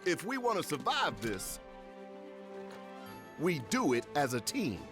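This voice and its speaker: Animated, commanding superhero leader. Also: male voice, on the high side, unhurried. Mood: firm, rallying. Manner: firm, commanding. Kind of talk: video game cutscene acting. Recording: music behind the voice.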